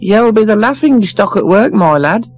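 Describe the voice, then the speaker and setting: A man acts out a nagging mother in an audiobook. The voice is pushed high and swoops about as she scolds and warns. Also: lively intonation, normal speed, dry recording, soft background music. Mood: scolding. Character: nagging, warning mother of a timid adult son.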